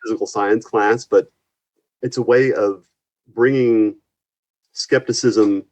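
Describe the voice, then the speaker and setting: A man's voice: fairly low pitch, steady tone, slow pace, darkish tone. A man speaking as an instructor in a conversational interview, earnest and given to acting out little quotes as he explains. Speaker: university physics and astronomy instructor, guest.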